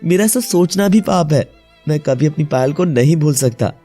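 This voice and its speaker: A man voicing a devoted, emotional young widowed father in a melodramatic animated moral story, speaking earnestly. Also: a little high, normal speed, faint music behind. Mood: pained refusal.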